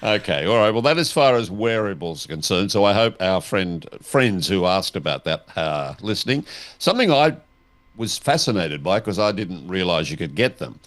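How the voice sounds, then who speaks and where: A man interviewing as a host, putting deliberate, inquisitive questions in a conversational style. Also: deep voice, sing-song, a bit bright.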